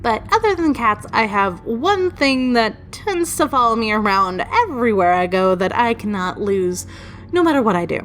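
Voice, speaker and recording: A woman's voice: on the high side, big pitch swings, normal speed, fairly bright tone. A woman telling personal stories casually in a chatty, rambling, playfully emphatic way. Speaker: storytelling video creator. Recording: music behind the voice. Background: background music.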